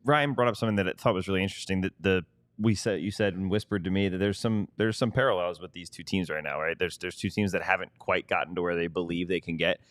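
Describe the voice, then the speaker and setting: A man's voice: low voice, quick pace, fairly bright tone, no room sound. A man co-hosting a sports show, talking casually about the games. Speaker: sports talk show co-host.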